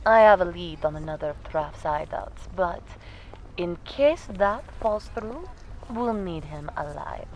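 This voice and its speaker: An actress in an audio drama playing a cool, menacing crime boss who gives orders in a silky, commanding way. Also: female voice, on the low side, expressive, a little slow, a little mellow, slight background noise, rough recording. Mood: cold, commanding.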